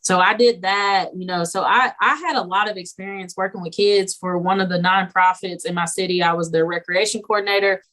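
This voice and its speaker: A young woman teacher chatting as a podcast guest, animated and laughing often, slipping into quoted voices as she tells stories. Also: medium pitch, a little fast, hardly any pauses. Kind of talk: casual podcast interview.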